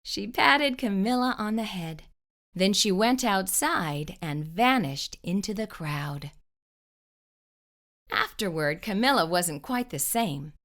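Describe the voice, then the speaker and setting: A woman narrating a children's storybook expressively, with deliberate, dramatic pauses. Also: mid-range voice, expressive, a bit bright. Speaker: children's storytime host reading aloud.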